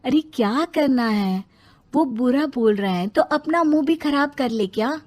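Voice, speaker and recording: A woman plays a young wife and mother in a cartoon moral tale. Her voice is fairly high. She is gentle, polite and forgiving. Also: expressive, unhurried. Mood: placating. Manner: soft-tempered, polite. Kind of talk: cartoon moral story acting.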